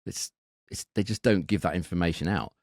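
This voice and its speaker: A man's voice: deep voice, brisk, a little mellow, no echo, clean studio sound. A man co-hosting a betting podcast, thinking aloud and often repeating words as he goes. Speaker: podcast co-host. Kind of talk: casual betting analysis.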